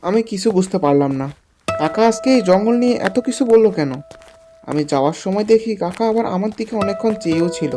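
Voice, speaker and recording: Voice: mid-range voice, expressive, fairly quick. Horror cartoon storyteller over music. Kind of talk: scripted first-person horror story narration for a cartoon. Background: music with guitar.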